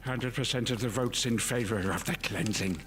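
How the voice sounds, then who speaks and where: A man's voice: on the low side, normal speed, a bit bright, a little hiss or hum, natural voice. An actor playing a kindly, doddering old grandfather in an audio drama, hesitant and fussy, often tagging a little "hmm" onto what he says. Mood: grave, uneasy.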